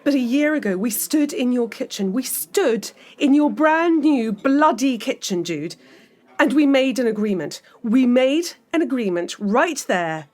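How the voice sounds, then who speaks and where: A woman's voice: high-pitched, animated, unhurried, darkish tone. Audio drama role: anxious professional woman, forties, emotional, easily rattled, slightly needling, naturalistic delivery. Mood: upset. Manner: emotional, questioning, easily worked up. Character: anxious, wine-drinking middle-aged professional woman, wistful and needling.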